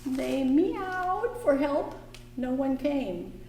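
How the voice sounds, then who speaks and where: A woman leads a children's storytime in a library, singing rhymes and reading a picture book aloud with a slow, sing-song lilt. Her voice sits a little high. The sound is roomy and echoey, picked up from some distance, with faint background noise. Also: expressive, warm tone. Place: library room. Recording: echoey room, voice from afar.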